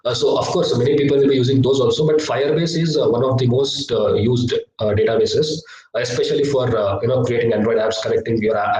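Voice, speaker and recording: A young man teaching a live online coding lesson, hesitant with many filler sounds, his voice slightly low from a cough. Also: a little mellow. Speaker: workshop instructor. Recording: echoey, distant laptop mic.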